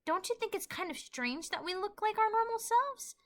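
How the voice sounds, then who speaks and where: A woman acts out a studious young pony princess from a cartoon. Her voice is high and lively, with an earnest, wondering manner. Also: moderate pace. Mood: relieved, curious. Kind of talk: fan fiction audio play.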